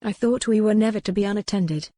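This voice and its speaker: A woman's voice: medium pitch, fast, warm tone, no room sound, lightly acted. A woman voicing a proud, earnest young noblewoman in a historical romance audiobook. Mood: cutting. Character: young noblewoman, proud captive wife, earnest and sharp-tongued.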